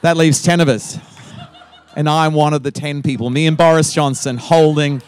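A man's voice: fairly high pitch, steady tone, moderate pace, clear, resonant tone. A middle-aged man with an Australian accent, a guest pastor opening his sermon with playful teasing and frequent laughter.

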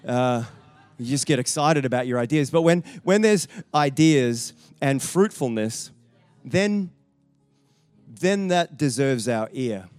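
A man's voice: medium pitch, lively intonation, slow pace, a little mellow, no echo, soft background music. A man introducing the guest pastor to a church, building his point in emphatic, repeating steps. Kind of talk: sermon introduction.

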